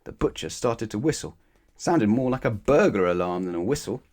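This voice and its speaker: A man reads a children's bedtime story aloud in a somewhat low voice. His narration is animated and expressive, addressed straight to young listeners. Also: a little fast. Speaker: storybook reader.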